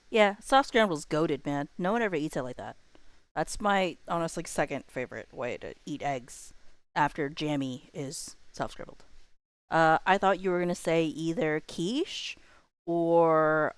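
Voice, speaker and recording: A woman livestreamer chatting casually with her viewers in a playful, expressive way. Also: low voice, measured pace, clean tone, dry recording.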